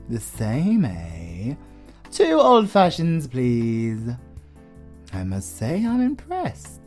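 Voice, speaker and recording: A man acts out a courtly British vampire lord for an audio roleplay. He is suave and flirtatious, with theatrical, widely swinging intonation and an unhurried pace. He teases the listener playfully and genteelly, with faint music behind him. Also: medium pitch, a little mellow, full character voice. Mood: gracious, hospitable.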